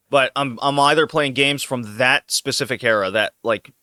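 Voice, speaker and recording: A man's voice: mid-range voice, measured pace, pushed and bright, dry recording, studio quality. A man hosting a casual podcast, loose and joking, laughing often as he talks. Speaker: podcast host. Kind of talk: casual chat.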